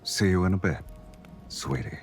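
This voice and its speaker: A man playing a suave, confident romantic lead in a game, speaking in a teasing, intimate and unhurried way. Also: low-pitched. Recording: music behind the voice.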